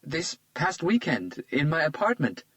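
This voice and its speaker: A man voicing a playful young woman, high and bright. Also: huge pitch swings, a little slow, no echo, full character voice. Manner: playful, teasing.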